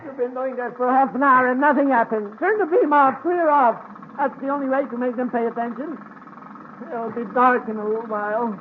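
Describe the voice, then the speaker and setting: An actor playing a panicky, self-serving crook in a 1950s radio serial, with whiny, agitated pleading. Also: male voice, extremely high pitch, fairly quick. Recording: tinny, slightly noisy 1950s radio.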